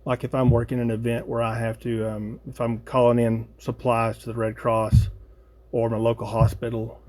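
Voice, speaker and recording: An American man talks through a hobby project in a casual, unscripted way. His pitch sits in an ordinary middle range. He thinks aloud haltingly, feeling his way through each explanation. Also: monotone, darkish tone. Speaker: hobbyist video host.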